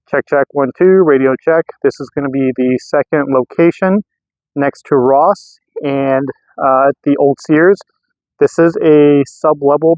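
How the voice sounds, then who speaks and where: A man's voice: medium pitch, darkish tone, dry recording. Man with a dull-sounding recording, casual. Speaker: vlogger testing radios. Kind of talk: casual field-test vlog talk.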